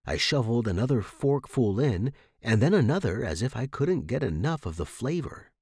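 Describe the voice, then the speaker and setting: A man's voice: mid-range voice, sing-song, normal speed, a little mellow, slightly rough, no echo. A man narrating a scripted story for a fiction podcast, recorded close in a dry space.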